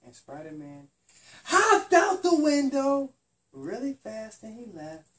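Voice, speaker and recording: A man's voice: very high-pitched, huge pitch swings, slow pace, fairly bright tone, slight background noise. Dad storyteller, male, simple repetitive bedtime narration, recorded at a distance from the mic. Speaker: father telling a bedtime story. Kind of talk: improvised bedtime storytelling to a child. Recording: distant microphone.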